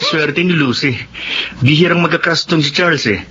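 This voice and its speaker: A man's voice: a little high, moderate pace, bright, forceful tone. A man acting a jovial, teasing married older brother in a radio drama, easygoing and chuckly. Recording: muffled lo-fi audio with hiss.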